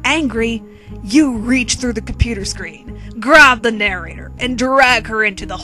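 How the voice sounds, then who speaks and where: A woman's voice: on the high side, unhurried, sharp tone. A woman narrating a comedic dramatic reading of fan fiction in a theatrical, tongue-in-cheek manner. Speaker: narrator and reader. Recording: quirky music behind.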